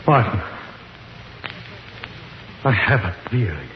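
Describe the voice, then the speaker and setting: Solemn professor, authoritative, deliberate phrasing, 1940s radio drama, dull echoing sound. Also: male voice, on the low side, very animated. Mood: grave, hushed. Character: grave, theorizing male professor leading an underground expedition. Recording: muffled, echoey, noisy 1940s radio recording.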